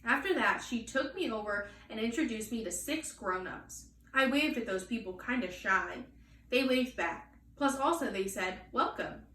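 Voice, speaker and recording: A woman reading a children's storybook aloud in an expressive style. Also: on the high side, normal speed. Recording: echoey room, distant mic, faint noise.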